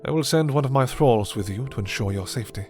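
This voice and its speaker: A man's voice: mid-range voice, animated, fast, a little mellow, soft background music, close to an everyday voice. A man playing a commanding vampire lord who is tender toward his guest. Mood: regal. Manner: regal, imperious.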